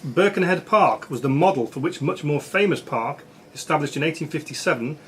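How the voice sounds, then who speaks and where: A man's voice: on the high side, lively intonation, a little fast, a bit bright. A man acting as quiz master, reading out questions at a live quiz in a social club. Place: social club room with background noise. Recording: noisy club room recording.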